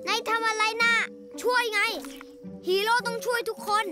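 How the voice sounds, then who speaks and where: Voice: extremely high pitch, flat delivery, moderate pace. An actor playing an earnest, brave and caring child superhero in a cartoon dub. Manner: earnest, exclaiming. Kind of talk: animated cartoon dub acting. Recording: loud music behind the voice. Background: music.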